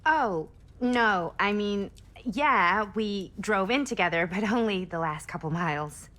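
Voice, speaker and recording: A woman's voice: medium pitch, lively intonation, a little slow, sharp tone. An actress playing a wry, plain-spoken, curious young woman in a video game cinematic, with a casual, slightly hesitant delivery. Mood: puzzled.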